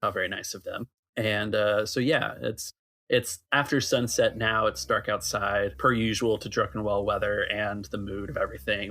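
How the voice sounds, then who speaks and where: A man's voice: lowish voice, sharp tone, a little hiss or hum. A man casually narrating a tabletop game as game master and asking players what they do.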